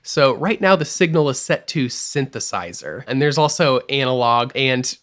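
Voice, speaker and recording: A man teaching music production in a screen-recorded tutorial, explaining each step clearly and with upbeat energy. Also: medium pitch, expressive, moderate pace, a bit bright.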